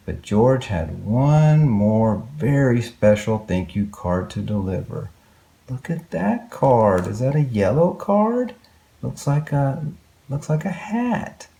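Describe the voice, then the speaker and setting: A man's voice: on the low side, gravelly, warm tone. A man reading a children's story aloud expressively, with exclamations and wondering asides to young listeners.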